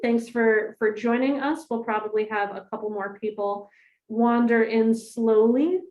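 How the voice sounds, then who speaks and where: A woman rabbi introducing a guest speaker to her congregation in a friendly, halting way with many ums. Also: a little high, fairly even intonation, a little mellow, reverberant, lo-fi sound. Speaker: rabbi hosting a class.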